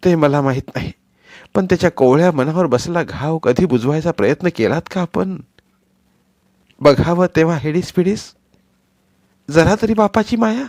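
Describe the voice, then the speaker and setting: A man's voice: fairly high pitch, dry recording, pitched above the performer's own voice, lightly acted. A man voicing a stepmother who scolds her husband in a heated, reproachful way, in a storybook reading. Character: grieving stepmother, fierce when roused.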